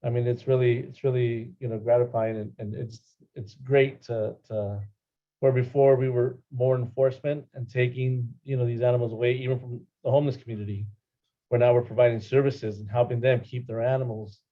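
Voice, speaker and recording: A man's voice: medium pitch, little pitch movement, brisk, highs cut off. A middle-aged man from Los Angeles answering conversationally as a panel guest. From Los Angeles, California. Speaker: panel guest, animal services worker.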